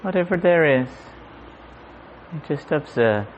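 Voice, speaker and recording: A man guiding a meditation in a gentle, unhurried manner, leaving long pauses. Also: on the high side, animated. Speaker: meditation guide. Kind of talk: guided meditation. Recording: muffled audio with clear background noise.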